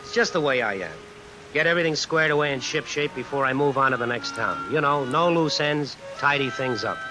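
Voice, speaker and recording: An actor as a hard-boiled drifter, music behind. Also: male voice, mid-range voice, fairly quick, lightly acted, poor audio. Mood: wry, resolute. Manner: hard-boiled, wry, slangy. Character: tough, vengeful drifter man narrating his own crime story. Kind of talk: old-time radio suspense acting and narration. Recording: vintage radio recording under music, rain. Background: music and rain.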